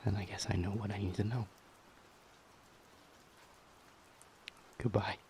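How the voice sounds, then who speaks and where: A man's voice: fairly low pitch, level tone, fast, darkish tone, lightly acted. A man playing an impassioned, determined demon in an audio roleplay drama, emotional and intense. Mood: cold resolve. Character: impassioned male demon, urgent and determined to help. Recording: noticeable background noise.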